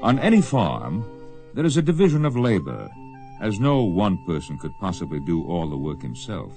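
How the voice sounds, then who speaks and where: A solemn western storyteller on a radio drama. His voice is very low and gravelly, moving expressively through the tale over music. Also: huge pitch swings, moderate pace, full character voice. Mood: solemn, measured. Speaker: narrator. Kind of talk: radio western scripted narration.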